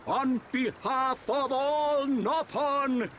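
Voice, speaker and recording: Pompous, high-pitched old creature chief. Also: male voice, fairly bright tone, a little hiss or hum, heavily acted. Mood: gracious. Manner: grandiose, formal, deliberate proclamations. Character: elderly male furry village chief, pompous, wily, kindly. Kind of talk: theatrical video game acting.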